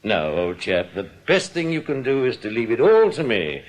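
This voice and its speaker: A man playing a smooth, domineering English bank manager in a radio drama, with a condescending, clubby manner. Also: mid-range voice, huge pitch swings, moderate pace. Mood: smoothly placating. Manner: condescending, old-school clubby address. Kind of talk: British radio drama acting.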